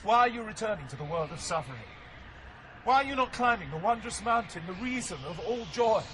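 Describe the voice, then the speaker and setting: An actor playing the wise, resolute ghost of an ancient poet who guides travelers, solemn and assured in an audio drama. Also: male voice, high-pitched, lively intonation, brisk. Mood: grave, questioning. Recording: faint background noise.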